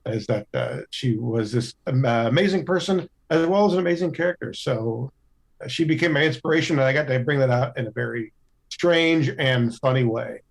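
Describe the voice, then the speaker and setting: A man chatting casually as a podcast cast member, in halting, thoughtful phrases. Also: medium pitch, expressive, gravelly.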